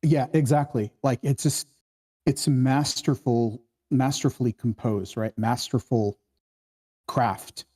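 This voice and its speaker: A man hosting a casual screenwriting discussion, explaining in a halting way and often checking for agreement. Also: medium pitch, fairly even intonation, warm tone. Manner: halting, explanatory, keeps seeking agreement with "right?". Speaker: host of a screenwriting table read.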